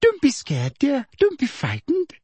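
A man narrating a storybook puts on a middle-aged female official with a high, silky, condescending voice. The sweetness is false and sinister, with a lilting range and slightly breathy tone. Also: very animated, moderate pace, darkish tone, no room sound, heavily acted. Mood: sugary.

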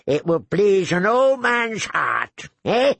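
A man voicing a sly, crude, cackling old male lord with a gravelly voice who tags phrases with "eh?". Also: high-pitched, sing-song, drawn-out words, a bit bright, no echo, pitched above the performer's own voice, full character voice. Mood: leering. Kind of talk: audiobook character voice.

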